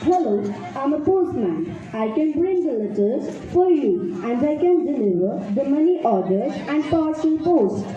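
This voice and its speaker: A woman's voice: sits high, sing-song, measured pace, hardly any pauses, dark tone. A female student performer reciting simple scripted puppet-show dialogue in a rehearsed way. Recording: muffled, very echoey, noisy room with chatter.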